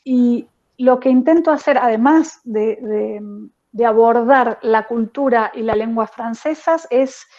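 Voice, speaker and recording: A woman teacher answering a question on an online panel, thoughtful and pausing often with fillers. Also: a little high, a little mellow, highs cut off. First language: not French. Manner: thoughtful, many hesitations and fillers.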